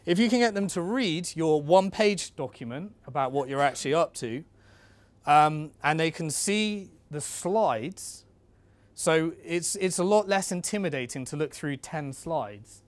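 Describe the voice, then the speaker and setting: A man's voice: a little high, measured pace, no room sound. A man giving an informal instructional talk as a presenter, conversational and coaching, speaking directly to his listeners.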